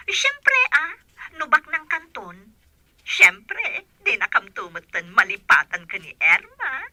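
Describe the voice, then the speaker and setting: A woman playing a bossy, conniving young friend in an Ilocano comedy radio drama, pushy and excitable, with an Ilocano accent. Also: sits high, slow pace, no echo, exaggerated voice. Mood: conniving, urging.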